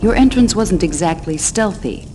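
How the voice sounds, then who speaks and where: An actress playing a proud, stern older sorceress in a fantasy game, speaking formally and pointedly with protective resolve. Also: female voice, fairly low pitch, lively intonation, moderate pace, a little mellow, slight background noise, natural voice. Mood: wary, pointed. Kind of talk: dramatic fantasy game cutscene acting. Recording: game music behind the voice.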